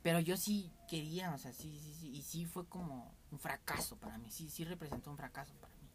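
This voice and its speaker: A man's voice: fairly high pitch, fairly quick, background noise. A young Mexican man with a Mexican accent, telling candid stories in a casual, rambling podcast chat as its host.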